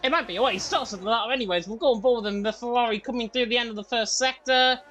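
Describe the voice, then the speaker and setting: A man calling a sim racing qualifying session, his voice a little croaky from being unwell. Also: very high voice, slight background noise. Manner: fast, excited play-by-play. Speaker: lead commentator.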